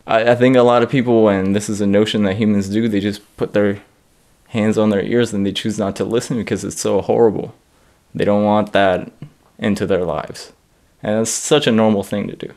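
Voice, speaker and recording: Young man from Iraq, low reflective voice. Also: fairly even intonation, normal speed, darkish tone. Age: young adult. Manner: reflective, laughs as he talks. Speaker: stand-up comedian. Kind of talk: interview. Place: studio against a plain grey backdrop.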